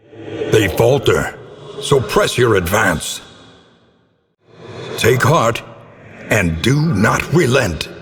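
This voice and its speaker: A very low, slow male voice rings out with long reverb. He is a brooding narrator from a gothic horror video game, solemn and theatrical, placing each ornate phrase with grave deliberation. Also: full character voice. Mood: cold, deliberate. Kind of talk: scripted dramatic game narration in gothic prose.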